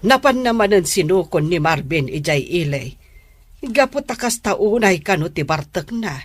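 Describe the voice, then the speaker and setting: A woman's voice: fairly low pitch, expressive, moderate pace, slightly rough. A woman playing a worried, admonishing middle-aged mother in a radio drama. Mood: concerned, lamenting.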